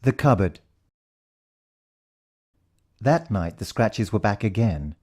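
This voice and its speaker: A man's voice: lowish voice, sing-song, slow, darkish tone, husky. A British man narrating a first-person story in a dramatic, suspenseful way. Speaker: audiobook narrator.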